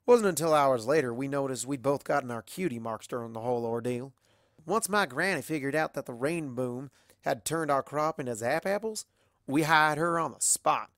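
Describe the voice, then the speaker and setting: Someone playing a folksy, animated female farm pony. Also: medium pitch, moderate pace, no room sound, studio quality. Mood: warm recounting. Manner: folksy, plainspoken storytelling. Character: proud, hardworking female country farm pony, competitive.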